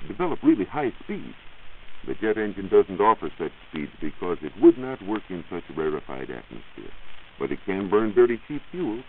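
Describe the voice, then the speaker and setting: Deep-voiced American man, old radio science reporter. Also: phone-quality sound. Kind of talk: 1940s radio science explanation. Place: radio studio. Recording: hissy, band-limited 1940s radio recording.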